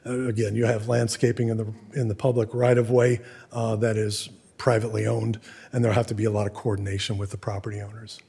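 A man presenting at a public meeting as city staff, halting in delivery, his voice hoarse and giving out. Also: on the low side, level tone, warm tone.

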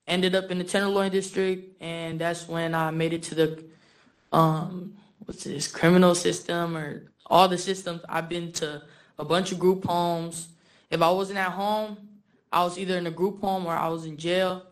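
A young woman from the Philippines and San Francisco giving informal personal testimony in a casual, halting way with lots of "like". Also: fairly low pitch, level tone. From born in the Philippines, raised in San Francisco from age eight. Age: young adult.

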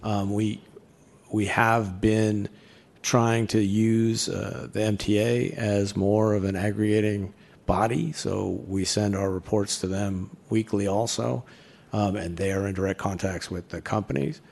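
A gravelly man with a low voice gives testimony at a public meeting. He speaks steadily and pauses often with "um". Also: steady tone, darkish tone. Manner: measured, with frequent ums. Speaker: fire department official presenting.

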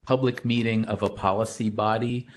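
A man chairing a public committee meeting, speaking in a measured way with hesitant restarts. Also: fairly low pitch, little pitch movement, a little hiss or hum.

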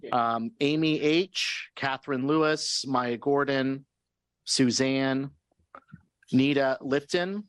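A man chairs a council session's public comment, steady and procedural, reining in speakers with courteous but firm interruptions. His voice sits mid-range and sounds close and dry, with no room echo. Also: mid-range voice. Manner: firm, procedural, repeats polite cut-offs. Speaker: presiding officer of a city council. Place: council chamber.

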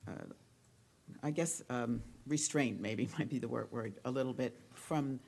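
A woman commissioner questioning an official at a public meeting, feeling her way through halting, tentative phrases. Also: a bass voice, gravelly, a little mellow. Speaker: county commissioner.

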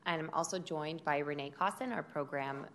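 Low-voiced woman presenting a budget. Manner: loud, steady speaking voice; measured, informative delivery with occasional fillers. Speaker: city department director. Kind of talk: formal budget presentation at a public meeting.

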